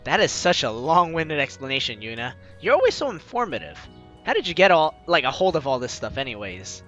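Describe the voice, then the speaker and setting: Lively high-voiced man reading a game. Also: fairly quick, lo-fi sound, faint music behind. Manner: lively, laughs, breaks off to comment. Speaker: let's play host reading a visual novel. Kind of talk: playthrough reading with commentary.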